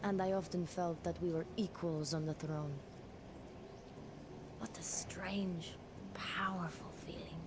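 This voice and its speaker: A woman playing a young, pious medieval queen who narrates her life in a solemn, measured and wistful way for a historical game. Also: on the low side, steady tone, noisy background. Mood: wistful, reflective. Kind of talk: scripted dramatic narration of a historical game campaign.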